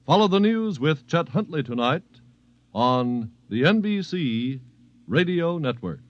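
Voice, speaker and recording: Network promo announcer, man, medium pitch, lively inflection, scripted vintage radio style, lo-fi sound. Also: unhurried. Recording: muffled vintage radio broadcast.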